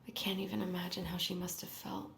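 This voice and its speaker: An actress playing an earnest, determined young woman in a video game, soft-spoken and a little hesitant. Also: fairly low pitch, monotone, fast talker, gravelly, echoey room, slight background noise. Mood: hushed, sorrowful. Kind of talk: naturalistic game cutscene dialogue.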